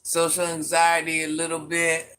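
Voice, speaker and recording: A woman's voice: low-pitched, completely flat delivery, moderate pace, fairly bright tone. A woman hosting a casual livestream, reading viewer comments aloud and teasing them by name with a laugh. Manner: calls herself Granny.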